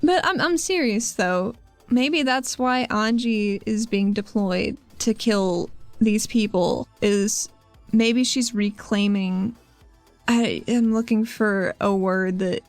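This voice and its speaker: A woman's voice: medium pitch, no room sound. A woman playing a tabletop role-playing game, talking casually and partly in character. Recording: faint music under a dry voice. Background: soft background music.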